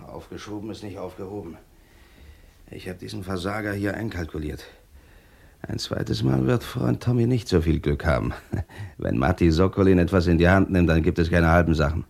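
An actor playing a cold, cocky, domineering killer in a crime radio play, curt and boastful. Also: male voice, low voice, normal speed, vocal fry, darkish tone, breathy edge, exaggerated voice. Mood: grim, cocky. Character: cold, cocky hired-gun killer, brusque and domineering man.

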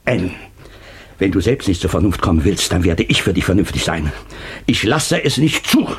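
An actor playing a stern, controlling man who speaks firmly and gravely in a radio crime drama. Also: low-pitched, big pitch swings, moderate pace, soft background music. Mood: grave, insistent. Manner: firm, grave, emphatic.

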